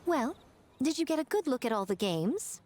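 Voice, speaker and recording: A woman voicing a poised, teasing, shrewd woman of authority in a video game, measured and playful. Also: sits high, big pitch swings, darkish tone, a little hiss or hum. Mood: amused, knowing. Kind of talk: English video game voice acting.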